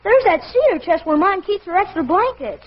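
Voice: very high-pitched, sing-song, moderate pace. A performer playing an eager, good-natured young boy, the son in a 1940s radio comedy. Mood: agreeable, earnest. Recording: muffled old radio recording.

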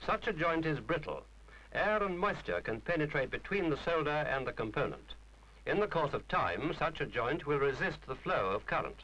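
A man's voice: on the high side, big pitch swings, normal speed, bright, forceful tone, rough recording. A man reading a scripted instructional film narration in a formal, precise, didactic manner.

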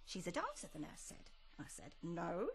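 A woman's voice: on the low side, wildly swooping pitch, normal speed, gravelly, full character voice. A woman acting in a comedy radio play as a pushy, theatrical, starstruck middle-aged mother of a showgirl. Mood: insistent, proud.

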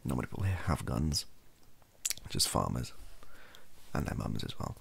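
A soft-spoken British man rambles in an improvised, ASMR-style comedic commentary. His voice is very low and creaky, yet it moves around with lively intonation. He keeps breaking off and correcting himself, with a dry sense of humour. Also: normal speed. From Wales, UK. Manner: rambling, self-interrupting, dryly humorous. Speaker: comedy ASMR video creator. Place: small cluttered bedroom or home office, indoors.

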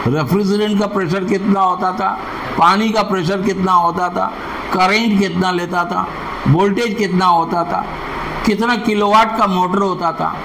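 A man, explaining things as a senior technical instructor in an interview. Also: high voice. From India. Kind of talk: explaining in an interview before a class. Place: small classroom, indoors. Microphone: clip-on lapel mic. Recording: noisy room ambience.

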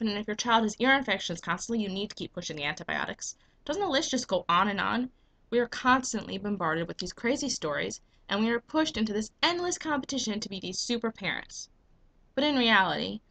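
Young woman w a cold, bright sharp voice, pushy rhetorical vlog pitch into her webcam. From United States. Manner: earnest, emphatic, rhetorical questions. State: getting a cold. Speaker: vlogger and business owner. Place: small indoor room at home. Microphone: webcam at desk.

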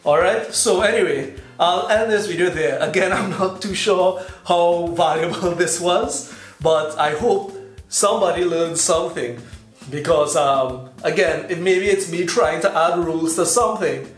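A man's voice: high voice, unhurried. Man with a Trinidadian accent. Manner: relaxed, explanatory, gestures while talking. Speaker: YouTube host teaching. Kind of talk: casual talking-to-camera lesson. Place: indoors in front of a plain backdrop. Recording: echoey room with faint music.

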